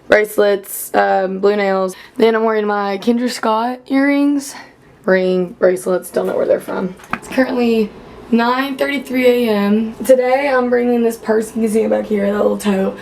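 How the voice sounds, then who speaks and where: A young woman in her late teens talks straight into a handheld phone camera, rambling through her day and packing in "like" at every turn. Her voice is a little hoarse and worn from losing it, sitting at a middling pitch. Faint ambient noise stays low behind her. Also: fairly even intonation, normal speed, darkish tone. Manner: chatty, rambling, many "like" fillers. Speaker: college freshman vlogger. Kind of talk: casual vlog.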